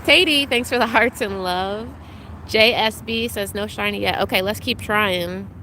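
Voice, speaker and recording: A woman's voice: medium pitch, unhurried, bright, forceful tone, a little hiss or hum. Chatty woman streamer, slightly congested. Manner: chatty, greets viewers by name, frequent ums. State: congested, recovering from illness. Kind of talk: casual live-stream chat.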